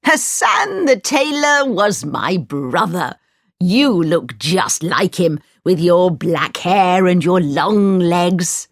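A woman acts out a sly old wizard from a storybook, pretending to be a kindly uncle. He draws his words out very slowly and theatrically, with big swoops in pitch. The sound is close and dry. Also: sing-song, gravelly, no echo, exaggerated voice. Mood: excited, gushing. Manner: slow, drawn-out, theatrical delivery.

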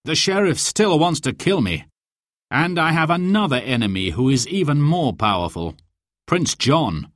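A man's voice: fairly high pitch, sing-song, moderate pace, no echo, clean studio sound. A man voicing a brave, earnest young outlaw hero in a storybook adventure. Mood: earnest, worried.

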